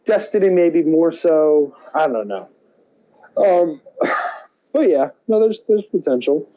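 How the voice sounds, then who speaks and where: A man co-hosting a podcast, chatting casually and thinking aloud in halting, hesitant phrases. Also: on the high side, animated, warm tone, muffled recording. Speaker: podcast co-host. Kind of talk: casual chat about movies and games.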